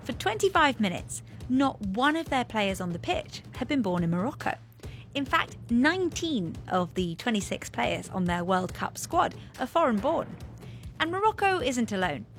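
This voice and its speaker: A woman's voice: fairly high pitch, sing-song, normal speed, soft background music. A woman podcast host who explains things in an upbeat, conversational way and interviews a guest. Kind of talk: scripted explainer narration.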